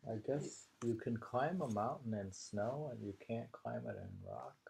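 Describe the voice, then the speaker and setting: A man reading poetry aloud and commenting on it informally, in a halting, musing way as he thinks aloud. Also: medium pitch, huge pitch swings, gravelly, a little mellow, slightly rough.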